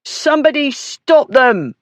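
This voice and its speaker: A narrator voicing a coarse, drunken man who blusters and shouts in rough working-class speech. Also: mid-range voice, expressive, measured pace, dry recording. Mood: blustering. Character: drunken coarse male dog beater, blustering.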